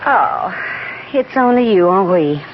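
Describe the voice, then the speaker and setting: Swooping, teasing young heiress. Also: female voice, mid-range voice, animated, slow. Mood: airy, teasing. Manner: breezy, teasing, theatrical. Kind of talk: old-time radio drama acting. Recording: muffled, clearly noisy 1970s radio broadcast.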